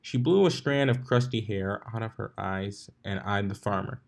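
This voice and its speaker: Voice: low voice, normal speed, creaky. A storyteller reading fan fiction aloud as scripted narration, with occasional stumbles over words.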